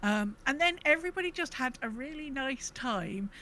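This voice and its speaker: A woman's voice: high voice, lively intonation, normal speed, pushed and bright. A woman co-hosting a casual gaming podcast, chatting in an informal, upbeat way. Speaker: podcast co-host.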